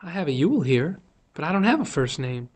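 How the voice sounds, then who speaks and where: A man's voice: fairly high pitch, sing-song, brisk, breathy edge. A man voicing a prim, squeamish woman schoolteacher in a storybook, with a proper, anxious manner. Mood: prim, polite.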